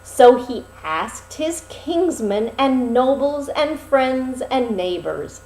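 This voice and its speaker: A woman narrating a fairy tale expressively, with dramatic, deliberate phrasing and pauses. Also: high voice, expressive, darkish tone. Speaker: storyteller. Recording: roomy, echoing sound.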